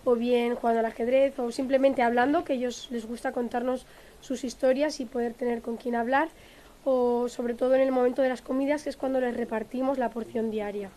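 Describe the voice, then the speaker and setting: A woman's voice: on the high side, steady tone, brisk, mellow. A woman from Madrid volunteering at a refugee camp, answering interview questions sincerely with some hesitation. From Madrid, Spain.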